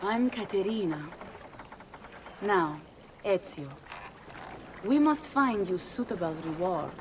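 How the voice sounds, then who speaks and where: Slow-spoken, flirtatious noblewoman. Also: mid-range voice, lively intonation, darkish tone, a little hiss or hum. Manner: teasing, self-assured. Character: poised, flirtatious, commanding Italian noblewoman. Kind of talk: video game cutscene acting.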